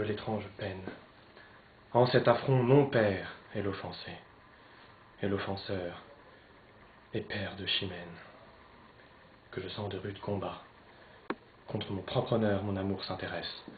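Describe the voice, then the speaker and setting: A man playing an anguished young Spanish nobleman torn between love and honour, declaiming classical French verse theatrically, swinging between hushed and loud. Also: fairly low pitch, lightly acted. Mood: lamenting. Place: poetry club, live recitation. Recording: roomy, slightly dull live recording, noisy.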